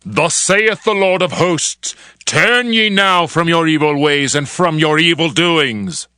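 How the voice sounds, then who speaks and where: Ancient prophet: urgent male herald, highish, forceful, slow proclamation, scripture drama. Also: smooth, no room sound, studio quality. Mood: urgent, warning. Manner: proclaiming, deliberate. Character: urgent male prophet of old, crying warning.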